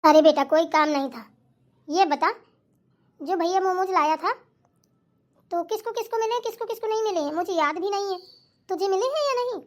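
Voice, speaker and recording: Woman acting very high-pitched, bossy mother-in-law. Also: moderate pace, pauses often. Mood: questioning. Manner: chiding, lecturing. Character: scolding, bossy mother-in-law keeping household order. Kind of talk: family comedy skit acting.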